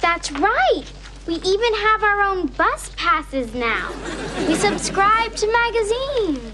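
Sitcom daughter: very high, lively voice, sassy and scheming, over noisy studio audience. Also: female voice, slow, crowd noise, heavily acted. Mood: mock-innocent. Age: child. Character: scheming, sassy young twin girls pleading with dad.

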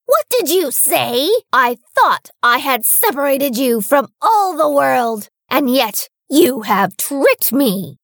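A woman's voice: high-pitched, very animated, fairly bright tone, dry recording, clean studio sound, pitched above the performer's own voice, full character voice. Woman doing a scolding wicked witch. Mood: furious. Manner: drawn-out, scolding storybook villain voice. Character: wicked old witch, angry and mocking.

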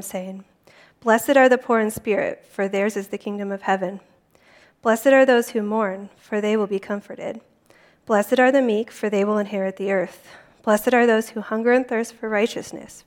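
A woman reading scripture aloud from a prepared text. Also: medium pitch. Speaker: volunteer scripture reader.

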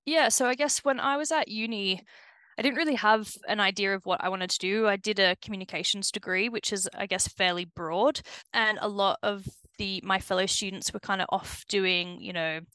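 Chatty Australian woman, bright voice. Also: medium pitch, normal speed, clean tone, no echo. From New South Wales, Australia. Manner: chatty, laughs often. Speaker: podcast guest. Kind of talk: casual interview chat.

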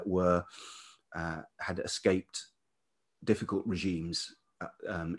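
Deep husky man telling stories. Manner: halting, many ums and restarts. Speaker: podcast guest, writer and producer. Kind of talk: relaxed storytelling interview.

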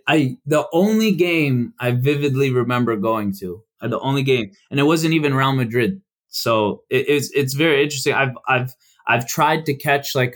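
Halting young man from Chicago. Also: mid-range voice. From United States. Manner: halting, many restarts and fillers. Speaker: guest. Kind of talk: casual podcast interview.

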